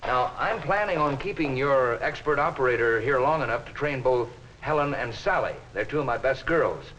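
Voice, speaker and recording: An American actor playing a brusque, patronizing middle-aged office boss in a 1950s instructional film, on a muffled, hissy soundtrack. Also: male voice, mid-range voice, animated, moderate pace, a bit bright, natural voice. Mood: brisk, businesslike. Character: exasperated male accounting department boss, brusque and patronizing.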